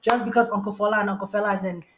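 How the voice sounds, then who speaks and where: A woman's voice: medium pitch, steady tone, very fast, roomy sound. A woman in her thirties from Nigeria with an American accent, a podcast guest talking in a casual, emphatic way and often checking "do you know what I mean". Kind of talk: casual chat.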